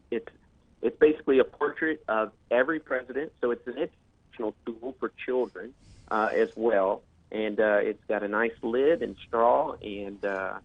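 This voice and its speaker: Guest on a call-in line, stop-start talker full of uhs, tinny dry phone sound. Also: male voice, mid-range voice, dry recording. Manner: hesitant, many fillers and restarts. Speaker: guest on a political talk show. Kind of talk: casual promotional talk.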